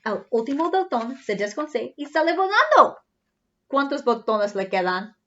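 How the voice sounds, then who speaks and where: A woman reading a picture book aloud to young children in an animated, teacherly way, with singsong refrains. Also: sits high, normal speed. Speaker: read-aloud storyteller for children. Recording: slightly dull, lo-fi sound.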